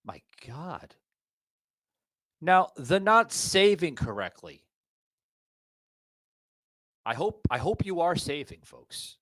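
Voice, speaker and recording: A man's voice: fairly high pitch, big pitch swings, slow pace, long pauses, a bit bright, dry recording, studio quality. A man in his forties hosting a podcast, rambling and repeating himself as he talks to his listeners. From Florida. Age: 40s. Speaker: podcast host. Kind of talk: casual rant monologue.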